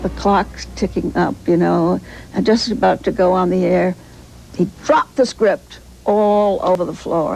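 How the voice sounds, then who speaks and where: An older woman with a crackly, husky voice telling an anecdote in an interview. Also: fairly low pitch, darkish tone. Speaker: retired radio actress being interviewed. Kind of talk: anecdotal storytelling.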